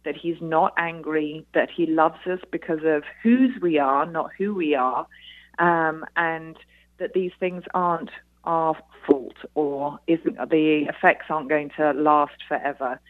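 A woman's voice: deep voice, fairly even intonation, unhurried, no room sound. British woman on a muffled phone line. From Britain. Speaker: interview guest, author. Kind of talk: radio interview answers. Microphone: telephone.

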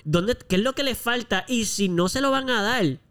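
A man's voice: very high voice, normal speed, a bit bright, smooth. A man with a Puerto Rican accent co-hosting a casual podcast, speaking informally and mixing English words into his Spanish. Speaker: podcast co-host.

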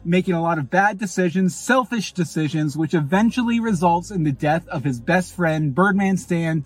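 Husky, high-voiced male comic host. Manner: jokey, self-mocking. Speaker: podcast host and show creator. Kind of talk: fast comic recap of a story.

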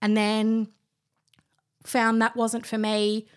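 A woman's voice: fairly high pitch, completely flat delivery, slow pace. A young woman, chatting casually as a guest about her work, with plenty of fillers and restarts. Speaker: guest, wine trainer. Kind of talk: casual chat.

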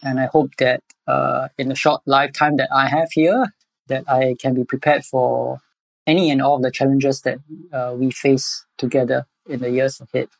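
Measured Singaporean man, dry close sound. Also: mid-range voice, steady tone, gravelly, no echo, poor audio. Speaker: podcast guest, environmental educator. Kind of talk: conversational interview answers.

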